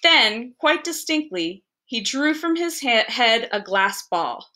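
A woman narrates a storybook tale in a bright, fairly high voice. Her phrasing is deliberate and theatrical, made for reading aloud. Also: very animated. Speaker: library staff reader.

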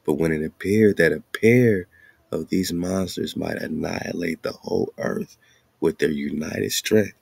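A man's voice: deep voice, measured pace, vocal fry, a little mellow, slightly rough, no echo. A man hosting a show, reading aloud and commenting casually with informal, slangy asides. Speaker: radio show host.

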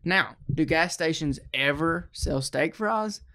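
A man's voice: fairly high pitch, slow, pushed and bright. A man in his twenties chatting casually as a podcast host, joking and playfully mock-dramatic, close on the mic. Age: 20s. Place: small room indoors. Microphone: handheld-close podcast microphone on a stand.

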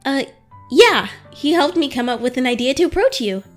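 A woman's voice: high-pitched, very animated, moderate pace, faint music behind. A young woman voicing an awkward, flustered teenage superheroine in a comic dub, stammering and gushing. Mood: nervous, flustered. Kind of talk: animated-style comic dub acting.

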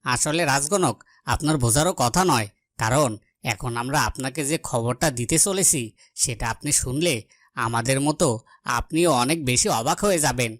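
A man's voice: mid-range voice, moderate pace, fairly bright tone, no room sound, lightly acted. A man playing an earnest, loyal palace guard in a fairy-tale cartoon, speaking deferentially. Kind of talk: cartoon voice acting.

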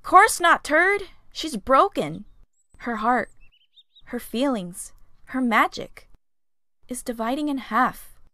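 Woman playing a brash cartoon unicorn girl, blunt, scornful, high sharp voice, deliberate drawl. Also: lively intonation, no echo, full character voice. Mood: scornful, urgent. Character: sassy, brash young female flying unicorn head. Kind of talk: cartoon comic dub acting.

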